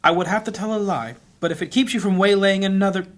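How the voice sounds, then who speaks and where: A man playing a principled, dryly disapproving priest in a fantasy audio drama, speaking in a measured, formal way. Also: sits high, natural voice. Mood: stern, dry.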